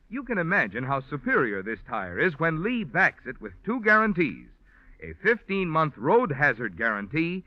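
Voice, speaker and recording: Man, American accent, vintage radio announcer, emphatic sales pitch, highish animated voice, dry muffled old recording. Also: dry recording. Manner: polished, emphatic sales delivery. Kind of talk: 1940s radio advertising announcement.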